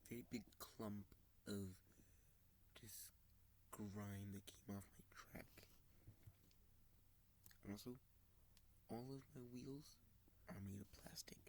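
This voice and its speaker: A man with a stuffy, sniffly voice talks slowly and haltingly in a casual vlog, often dropping to a soft, whispery tone. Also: lowish voice, a little hiss or hum, low-quality recording. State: congested, sniffling. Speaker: model train channel host. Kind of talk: casual screen-recorded vlog.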